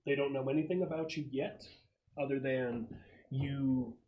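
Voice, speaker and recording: A man's voice: mid-range voice, fairly even intonation, normal speed, phone-quality sound. A man acting as game master, explaining a scenario to players in a conversational way.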